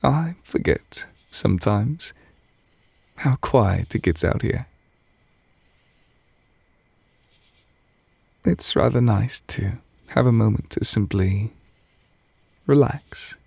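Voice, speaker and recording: Man acting as gentle adoring wizard boyfriend, very slow, gravelly soft voice, tender, intimate ASMR roleplay. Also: fairly low pitch, lively intonation, dry recording, exaggerated voice. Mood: wistful, adoring. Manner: soft-spoken, tender, unhurried, gently teasing.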